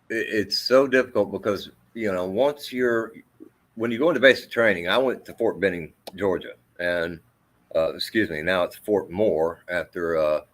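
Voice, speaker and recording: Deep-voiced male army veteran. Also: a bit bright. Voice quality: deep, carrying voice. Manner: halting, with many fillers and restarts. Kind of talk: emotional storytelling in interview.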